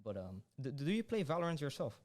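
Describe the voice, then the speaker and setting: A man's voice: fairly high pitch, very animated, fast talker. A man hosting a student podcast interview, casual and hesitant, with lots of "like" and "uh". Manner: hesitant, many "like" and "uh" fillers.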